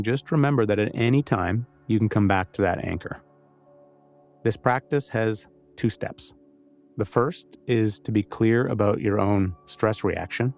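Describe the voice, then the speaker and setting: Low-pitched, gravelly male meditation guide. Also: darkish tone.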